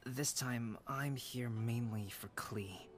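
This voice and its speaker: A man voicing a polite, caring young man in a video game, calm and measured, with gentle formal phrasing. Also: mid-range voice. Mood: calm, courteous.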